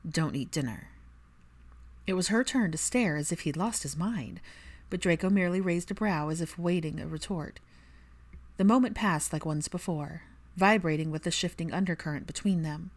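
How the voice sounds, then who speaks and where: A woman narrates a piece of fiction. Her voice sits fairly low for a woman, and she delivers the prose as a scripted audiobook reading.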